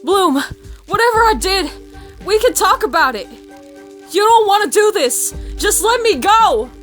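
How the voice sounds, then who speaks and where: A woman voicing a grown pony farm mare, a weary, blunt big sister with a folksy, expressive delivery, in an amateur audio drama. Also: extremely high pitch, measured pace, soft background music, full character voice. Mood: panicked, pleading.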